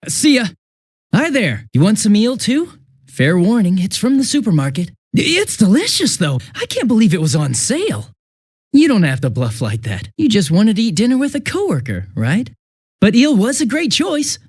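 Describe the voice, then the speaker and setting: An actor playing a bumbling, chatty young police detective in a video game dub, with an American accent and a sheepish, stammering, casual manner. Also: male voice, sits high, wildly swooping pitch, normal speed, a little mellow, breathy edge, no echo, clean studio sound, exaggerated voice. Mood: jokey, upbeat.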